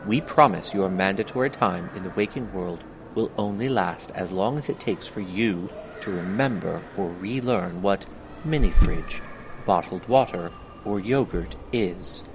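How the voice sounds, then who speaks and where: Man as a very low-voiced, solemn museum guide. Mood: solemn, formal. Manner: formal, measured, questioning. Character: solemn male guide of an otherworldly treatment museum. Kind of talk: scripted audio guide narration. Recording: clearly noisy, dull lo-fi recording.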